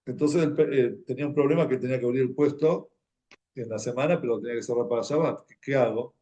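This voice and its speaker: Mellow-voiced Argentine man telling stories. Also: mid-range voice. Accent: Argentine Spanish. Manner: halting, conversational, repeats words as he goes. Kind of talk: informal sermon, anecdotal storytelling.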